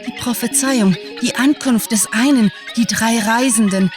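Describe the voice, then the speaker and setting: A woman's voice: on the high side, measured pace. A woman narrator reading a scripted story as a storyteller. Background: music.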